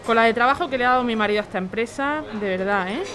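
A woman's voice: fairly high pitch, racing, lightly acted. A woman acting an indignant, snobbish, overqualified jobseeker of thirty-five in an audio drama. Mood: complaining. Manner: indignant, complaining. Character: indignant, snobbish 35-year-old overqualified woman seeking work. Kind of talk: audio fiction podcast acting. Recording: busy bar chatter behind.

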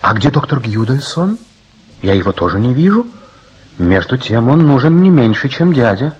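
An actor playing a cheerful, courteous young bridegroom in a radio play, buoyant and theatrical. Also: male voice, medium pitch, very animated, moderate pace, highs cut off, lightly acted. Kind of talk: Soviet radio play acting.

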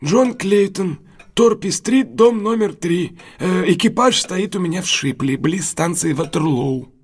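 An actor in an audio drama playing a deferential, wary but honest London cab driver, husky and halting, speaking respectfully to his betters. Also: sits high, lively intonation, exaggerated voice. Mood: eager, obliging.

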